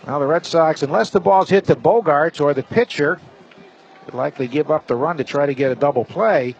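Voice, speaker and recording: Guy doing radio baseball play by play, kinda high voice, slightly dull sound w faint noise behind. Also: fairly quick. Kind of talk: live radio baseball commentary.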